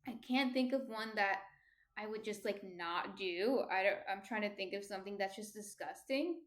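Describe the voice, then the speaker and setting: A soft-spoken woman in her thirties with a New Jersey accent, casually chatting and answering viewer comments on her stream. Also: medium pitch, normal speed. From New Jersey, USA.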